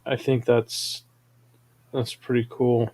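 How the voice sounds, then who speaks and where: A man's voice: medium pitch, monotone, slow pace, warm tone, dry recording. A man hosting a review, chatting casually and giving commentary. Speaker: host reviewing animated audio dramas.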